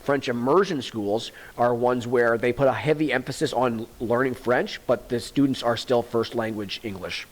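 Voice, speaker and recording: A man's voice: medium pitch, low-quality recording. Male teacher explaining a lesson. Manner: plain, unhurried classroom explaining, repeating key points. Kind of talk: reading a textbook aloud with explanations.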